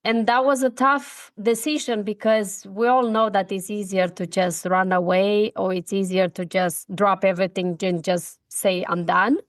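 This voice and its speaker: A middle-aged woman with a Romanian accent speaks in an earnest, heartfelt way. She breaks off and restarts as she talks, and her voice sits at a middle pitch. The recording is dry and close, with no room sound. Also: steady tone, moderate pace, few pauses, no room sound. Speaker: guest, speaker and coach. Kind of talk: personal story in a podcast interview.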